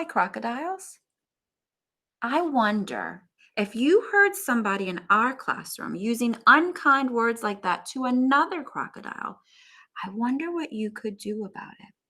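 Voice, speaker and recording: A woman teacher reading a storybook aloud to young children, with expressive emphasis and chatty asides to them. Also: fairly high pitch, moderate pace.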